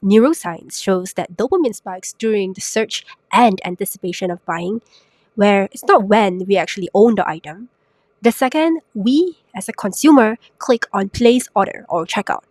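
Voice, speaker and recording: A young woman hosting a solo podcast while sick, her voice raspy and congested, chatty and self-mocking with lots of rhetorical questions. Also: medium pitch, a little mellow, no room sound. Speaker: podcast host. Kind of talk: casual solo podcast talk. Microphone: new podcast microphone.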